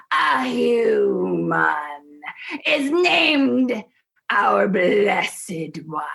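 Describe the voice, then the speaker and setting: A woman playing a fiery alien demagogue in a sci-fi radio drama, declaiming with slow, impassioned emphasis in a rough, gravelly voice. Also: mid-range voice, pushed and bright, exaggerated voice. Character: fiery demagogue alien politician rallying her species to uprising.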